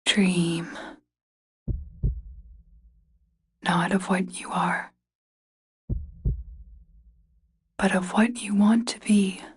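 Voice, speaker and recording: Solemn, gentle woman guide. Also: mid-range voice, steady tone, unhurried, slightly rough. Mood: hushed, tender. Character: solemn, gentle female guide in a sci-fi game. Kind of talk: video game character acting.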